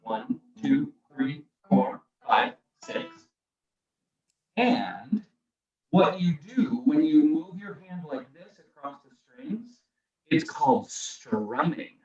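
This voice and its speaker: A man's voice: on the high side, very animated, slow pace, darkish tone, reverberant, distant mic, lo-fi sound. A man guesting as a children's musician, explaining things simply and encouragingly to young listeners. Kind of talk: children's singalong and friendly explaining. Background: acoustic guitar.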